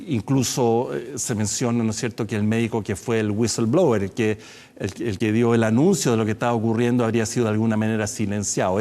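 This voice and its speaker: A man, a doctor guest in a studio TV interview, explaining in a measured way with some hesitations. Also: fairly low pitch, dark tone. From Chile.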